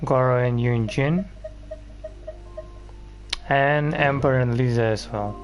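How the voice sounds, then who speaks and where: A man's voice: mid-range voice, takes it slowly. A man giving casual, rambling gameplay commentary as he plays. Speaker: gaming video host. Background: video game music.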